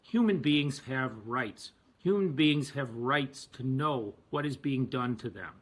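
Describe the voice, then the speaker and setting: A man delivering a prepared advocacy speech as a physician, emphatic and deliberate with pauses. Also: mid-range voice, lively intonation, husky. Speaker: physician and activist.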